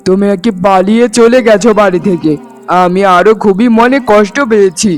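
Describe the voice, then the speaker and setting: A very high male voice acted by a man plays a young cartoon suitor. He is earnest and resolute, declaring himself solemnly in melodramatic style. Music sits behind the speech. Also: steady tone, fairly quick, close to an everyday voice. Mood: concerned. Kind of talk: Bengali cartoon dubbing, melodrama. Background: background music.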